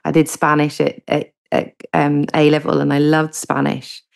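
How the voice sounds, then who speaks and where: Southern english woman chatting on radio, low steady voice, lots of ums. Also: normal speed, vocal fry. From southern England. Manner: hesitant, many ums, warm and chatty. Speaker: guest author. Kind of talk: interview answers.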